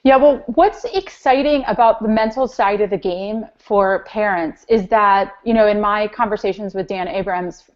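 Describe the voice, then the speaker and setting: A woman's voice: medium pitch, normal speed, hardly any pauses. A woman giving conversational expert advice as an interview guest on a conference call, explaining and restating her points. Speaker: guest, youth soccer parent educator. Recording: muffled, lo-fi conference call line.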